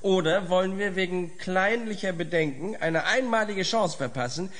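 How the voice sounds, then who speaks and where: A male scientist in a science fiction audio drama. His voice sits a little high. He is eager and touchy, with a pompous, rhetorical way of putting things. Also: moderate pace, a bit bright. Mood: indignant, insistent. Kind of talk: German audio drama acting.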